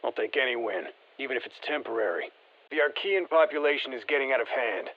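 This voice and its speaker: A folksy army operator in a video game, mid pitched, short tactical talk, kinda muffled radio sound. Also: male voice, expressive, fairly quick. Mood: steady, matter-of-fact. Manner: colloquial, clipped tactical commands. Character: gruff, folksy male soldier, confident squad operative. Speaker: video game character voice actor. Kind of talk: scripted game voicelines, mission briefing.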